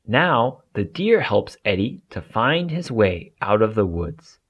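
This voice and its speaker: A man narrating a children's storybook aloud in an expressive way for young listeners. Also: on the low side, slow pace, highs cut off.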